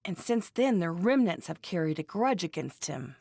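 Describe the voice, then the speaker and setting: An actress playing a plainspoken, teasing young woman in an English-dubbed anime game, with a casual, expressive manner. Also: lowish voice, normal speed, creaky, no echo, studio quality, exaggerated voice. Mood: casual, musing.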